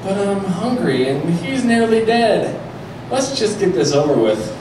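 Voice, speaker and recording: A man's voice: high voice, very animated, unhurried, voice pushed up. A man voicing a casual, crude, hungry male crow in a storybook reading, with a laid-back wisecracking manner. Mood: matter-of-fact. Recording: noisy echoey live stage, far mic.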